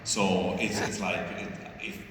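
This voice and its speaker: A man from Italy, an opera singer speaking on a panel, animated and searching for words. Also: deep voice, unhurried. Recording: echoey hall from far off with noise.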